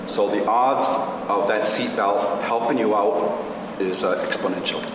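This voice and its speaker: A man makes his points piece by piece at a meeting, in a rather low voice. He sounds far from the mic, with long reverb and noticeable noise. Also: measured pace. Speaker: meeting participant, group spokesman. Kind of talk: reporting and arguing at a meeting. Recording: distant, very echoey, noisy room.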